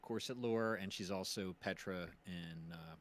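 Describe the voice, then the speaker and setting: A man's voice: deep voice, unhurried, slight background noise. A man co-hosting a live stream, explaining things casually and a bit hesitantly. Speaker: stream co-host and performer.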